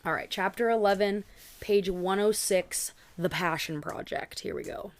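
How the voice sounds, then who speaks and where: A woman's voice: mid-range voice, normal speed. A young woman streaming a read-along, chatting casually with lots of fillers and asides, her voice tired and a little scratchy. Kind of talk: casual chat to a live audience.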